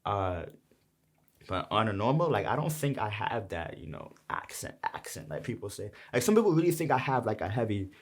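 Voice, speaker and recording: Young man, New York accent, somewhat low voice, laid-back, rambling, casual lyric breakdown. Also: very animated, moderate pace. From Brooklyn, New York. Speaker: rapper explaining his song.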